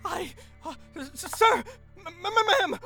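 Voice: very high voice, expressive, a little slow, gravelly, pushed and bright, faint music behind, exaggerated voice. An actor playing a frantic, jumpy young soldier in a sci-fi war audio drama. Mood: terrified. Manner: frantic, stammering under fear.

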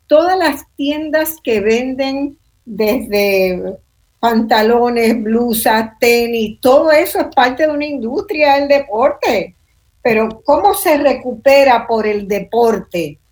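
A woman's voice: a little high, a little mellow. An older woman with a Puerto Rican accent, hosting a panel discussion in a reflective way and often adding "¿verdad?". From Puerto Rico. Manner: thoughtful, reflective, tags sentences with "¿verdad?". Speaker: host.

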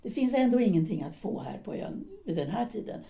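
An actress playing an embittered middle-aged wife and former actress, trapped in an unhappy marriage, in an audio drama. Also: female voice, medium pitch, sing-song, creaky, mellow. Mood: despairing. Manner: bitter, despairing. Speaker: actor.

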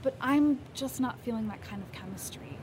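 A woman's voice: on the high side, animated, moderate pace, background noise, close to an everyday voice. A woman playing an exasperated, blunt, foul-mouthed young girlfriend in an audio drama. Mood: frustrated. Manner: profane, exasperated.

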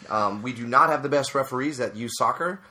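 Bright-voiced man co-hosting a parenting chat. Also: mid-range voice, a little fast. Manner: earnest, rises to emphatic when making a point; frequent fillers and restarts. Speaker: youth soccer coach and podcast co-host. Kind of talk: casual advice chat.

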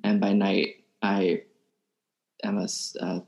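A young man from Alabama, a podcast guest musician, talking in a soft-spoken, hesitant way with many ums. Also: low voice, little pitch movement, takes it slowly, gravelly. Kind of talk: casual interview chat. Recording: roomy echo.

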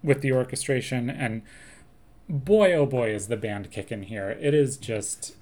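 A man's voice: mid-range voice, a little slow, darkish tone. A man co-hosting a podcast, talking through song lyrics in a thoughtful, analytical way and quoting lines with relish. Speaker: podcast co-host. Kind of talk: casual chat.

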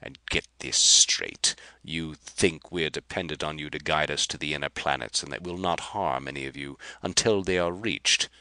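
A man voicing a gloating villainous alien commander, speaking slowly and with menace. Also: very deep voice, sharp tone, dry recording, full character voice, low-quality recording. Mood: menacing. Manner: sneering, slow and menacing.

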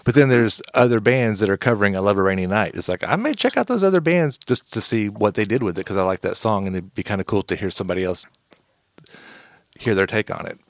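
A man's voice: on the low side, expressive, fast, gravelly, no room sound, studio quality. A man hosting a podcast, chatting in a rambling, friendly way with many restarts. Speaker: podcast host. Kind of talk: casual podcast chat.

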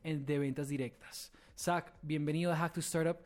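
A man's voice: a little high, fairly even intonation, normal speed, a little mellow. Upbeat male podcast host. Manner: upbeat, scripted opening. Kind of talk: podcast interview.

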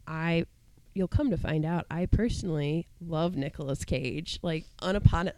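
A woman in her thirties co-hosting a podcast, husky from a cold and chatting in a lively way with fillers and laughs. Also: sits low, normal speed. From Ohio. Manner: animated, laughs between lines, trails off into fillers. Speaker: podcast co-host. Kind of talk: casual chat.

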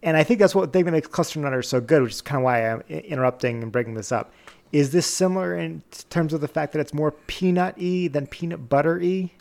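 Gravelly-voiced male podcast co-host. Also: fairly high pitch, fast talker, darkish tone. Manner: playful, teasing. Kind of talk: casual chat.

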